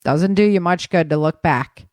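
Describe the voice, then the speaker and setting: A woman's voice: lowish voice, moderate pace, darkish tone, no echo, natural voice. Woman reading as blunt, defiant army major. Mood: businesslike. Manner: curt, protesting, commanding.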